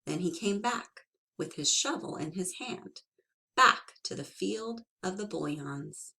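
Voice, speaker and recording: Young woman teacher, storybook read-aloud, slow and expressive. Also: fairly low pitch, gravelly. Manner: slow and expressive, asks the listeners questions.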